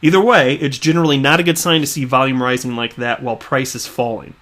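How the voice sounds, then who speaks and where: A man's voice: mid-range voice, fairly bright tone. A man from the American Midwest talking casually on his own video channel, chatty and full of fillers, often repeating his words. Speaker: crypto YouTuber. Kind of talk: casual commentary reading tweets.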